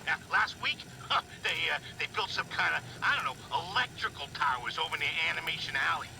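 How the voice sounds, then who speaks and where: An actor playing an exasperated, sarcastic American studio worker grumbling on a noisy audio log in a video game. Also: male voice, a little high, expressive, a little fast, creaky. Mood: wry griping. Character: exasperated male toy-and-cartoon studio worker griping about security.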